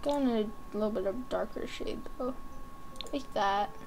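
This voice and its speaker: A woman with a high voice giving casual, chatty commentary as a gaming video host, thinking aloud in short offhand remarks. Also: normal speed, a little mellow, a little hiss or hum.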